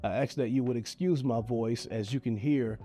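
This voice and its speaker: A man's voice: medium pitch, warm tone. A man, congested and nasal from a sinus infection, speaking earnestly to camera with a heartfelt plea to parents. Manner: earnest, pleading, measured. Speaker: video creator giving advice. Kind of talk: earnest direct-to-camera message.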